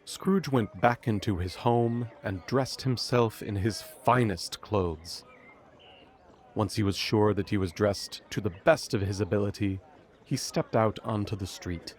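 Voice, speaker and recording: A man narrating a storybook audio drama in a measured storytelling manner. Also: on the low side, lightly acted. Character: omniscient storybook narrator of a Christmas tale.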